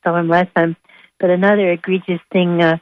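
A woman calling in to a radio show over the phone, speaking off the cuff in a halting, hesitant way. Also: lowish voice, flat delivery, no echo. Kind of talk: unscripted call-in comment. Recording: tinny narrow phone line.

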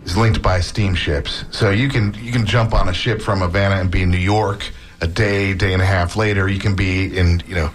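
A man talking casually as a guest author on an interview show, relaxed and chatty, with many fillers and restarts. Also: deep voice, moderate pace, a little hiss or hum. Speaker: book author, academic.

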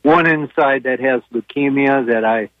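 A man's voice: mid-range voice, moderate pace, no echo. Male radio caller, hesitant and rambling, tinny dry phone line. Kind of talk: call-in to radio show.

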